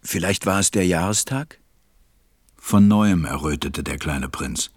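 A stranded pilot telling his story in a radio play. His voice is low, tender and melancholy. It is recorded dry and close. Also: unhurried, breathy edge, dry recording. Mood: pensive, melancholy. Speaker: narrator. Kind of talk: audio drama narration and acting.

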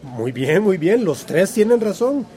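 A man's voice: high voice, big pitch swings, a little slow, mellow. A man with a Central American accent playing an encouraging community workshop teacher in a radio drama, affirming answers and prompting with questions. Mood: encouraging, didactic. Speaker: workshop facilitator. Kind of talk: radio drama acting as a lively classroom lesson.

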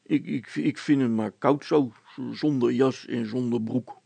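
A reluctant village policeman from a storybook, played by a male reader. He grumbles and frets in a hesitant, plaintive way. The voice is husky and gravelly. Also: mid-range voice, measured pace, dark tone, dry recording. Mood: whining.

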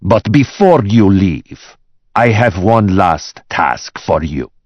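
A man's voice: low voice, animated, takes it slowly, a little mellow, slightly rough, dry recording, highs cut off, voice pushed up, heavily acted. A man voicing a raging, vindictive business boss in an audiobook, barking orders and spitting words out with venom. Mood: cold menace.

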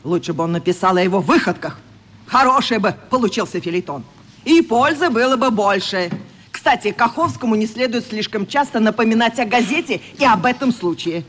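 A woman's voice: on the high side, animated, fairly quick, fairly bright tone. An actress playing a strict, indignant schoolteacher in a radio play, lecturing her class in a stern, haughty manner. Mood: stern, sarcastic.

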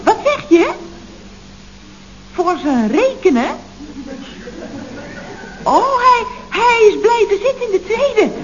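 A high-voiced, chatty office secretary from a radio comedy around 1970. Her delivery is theatrical and swooping, with unhurried timing and a dry sarcastic edge. The recording is muffled and noisy. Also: full character voice. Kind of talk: 1970s radio comedy acting.

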